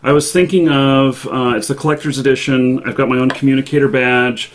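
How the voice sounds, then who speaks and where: A man hosting a live show, chatting casually about games in a halting way with pauses mid-thought. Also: medium pitch, fairly even intonation, a little hiss or hum.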